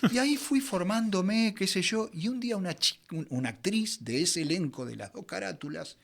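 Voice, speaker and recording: A middle-aged Argentine man from Buenos Aires telling anecdotes conversationally as an interview guest, in an expressive, theatrical way. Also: high voice, moderate pace, gravelly. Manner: expressive storyteller, acts out quoted dialogue. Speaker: interview guest, veteran voice actor.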